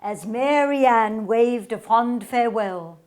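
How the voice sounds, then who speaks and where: A woman performer presenting a radio play in a deliberate, theatrical storytelling style. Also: a little high, background noise. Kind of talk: theatrical scripted narration and demonstration.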